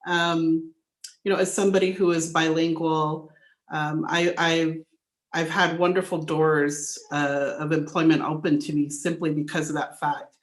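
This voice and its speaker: A woman on a city council, courteous and halting with uhs as she offers remarks. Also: low-pitched, little pitch movement, reverberant.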